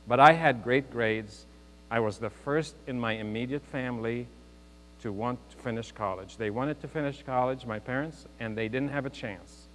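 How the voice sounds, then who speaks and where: Man in his fifties, earnest motivational speaker who jokes with the crowd, mid-pitched, long hall reverb, low hum behind. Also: a bit bright. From Lebanon. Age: 50s. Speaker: guest speaker. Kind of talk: motivational speech to a youth audience. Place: large hall with a live audience.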